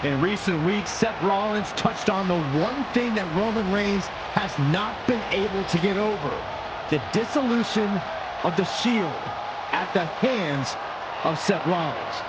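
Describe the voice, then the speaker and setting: Man, wrestling broadcast commentator, elevated pitch, heavy background noise. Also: measured pace. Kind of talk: sports commentary. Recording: clearly noisy arena ambience. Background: loud ambient noise.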